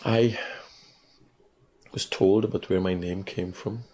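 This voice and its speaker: A Guyanese man in his forties with a low voice hosts a morning call-in show. He muses slowly on his own, then talks loud and fast when he argues with a caller. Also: fairly even intonation. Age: 40s. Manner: slow and reflective alone, loud and rapid when arguing. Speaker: radio call-in host.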